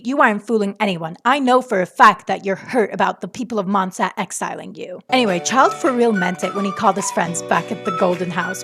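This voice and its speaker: A young woman narrating a video game in casual, sarcastic voice-over, swearing freely. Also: medium pitch. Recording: voice-over with game music faintly behind.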